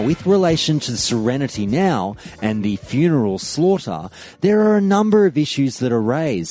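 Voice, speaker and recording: Guy hosting a gaming podcast, super animated pitch, dead dry sound. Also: no echo. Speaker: podcast host. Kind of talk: scripted podcast narration.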